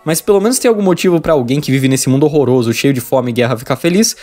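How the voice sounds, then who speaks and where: A man's voice: medium pitch, lively intonation, quick pace, a little mellow. A man with a Brazilian accent giving scripted narration for a video essay.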